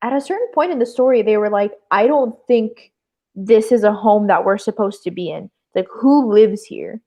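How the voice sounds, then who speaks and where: A woman co-hosting a live show in a casual, animated book chat, emphatic and peppering her sentences with "like". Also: mid-range voice, moderate pace.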